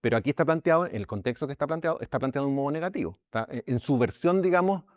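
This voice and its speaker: A man's voice: mid-range voice, lively intonation, very fast, no room sound, clean studio sound. A man with a Chilean accent, a pastor giving an informal Bible lesson in a conversational way, often checking in with his listeners and restarting his sentences. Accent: Chilean Spanish. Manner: many restarts and repeated words. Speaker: pastor teaching a Bible class.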